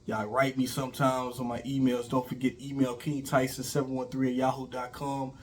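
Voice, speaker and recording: A middle-aged man who is feeling sick and slightly raspy, hosting his own channel and talking through relationship advice in a rambling, informal way with many fillers. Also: mid-range voice, level tone, a little fast, a little hiss or hum. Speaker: YouTube host. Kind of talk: casual relationship advice talk.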